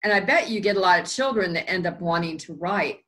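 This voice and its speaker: A woman's voice: on the low side, animated, a little fast, pushed and bright. A woman hosting an interview, asking friendly, encouraging questions that ramble a little. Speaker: interviewer, host. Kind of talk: casual interview.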